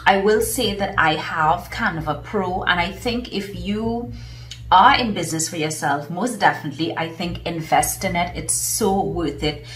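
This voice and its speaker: A woman's voice: on the low side, slight background noise. A woman with a Trinidadian accent, chatting enthusiastically to camera about her favourite tools, with plenty of fillers. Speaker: vlogger and business coach. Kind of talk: casual talk to camera. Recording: roomy echo, mic at a distance.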